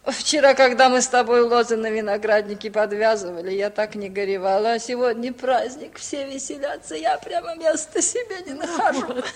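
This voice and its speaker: An actress playing an effusive, doting elderly village aunt in a 1950s radio comedy. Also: female voice, on the high side, moderate pace, few pauses, lightly acted. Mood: wistful. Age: older. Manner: effusive, endearing address, exclamatory. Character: emotional, doting elderly Georgian village aunt, prone to wailing.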